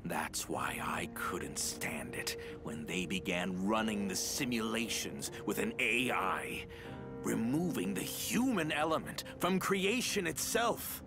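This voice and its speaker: A man's voice: on the low side, animated, slow, vocal fry, over music, exaggerated voice. A man voicing a bitter, defeated villain in a video game, grave and theatrical. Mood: bitter, resigned. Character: defeated brooding male villain, bitter former developer. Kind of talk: dramatic video game voice acting.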